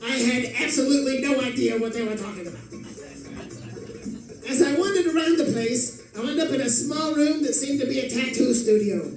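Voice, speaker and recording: A man's voice: extremely high pitch, a little fast, a little mellow, faint music behind. A man playing a bewildered, boastful 1940s private eye in a theatrical, wisecracking old-time radio monologue. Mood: boastful, amazed. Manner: melodramatic, wisecracking diary narration. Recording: echoey room, distant microphone.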